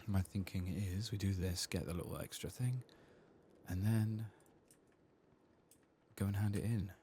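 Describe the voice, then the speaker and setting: Soft spoken asmr gamer guy, really deep mellow voice, murmuring to himself, bit of noise. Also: fairly even intonation. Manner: murmured, unhurried, musing to himself. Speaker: video game streamer. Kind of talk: soft-spoken ASMR game playthrough commentary, partly whispered.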